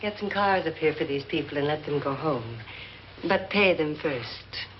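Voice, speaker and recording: Rich man's wife, cool and cutting, lowish voice, muffled vintage horror film sound. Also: expressive, normal speed, a little hiss or hum. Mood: cool, scornful. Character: millionaire's cool, scornful society wife. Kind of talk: 1950s horror film acting. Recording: dull old film soundtrack, faint music.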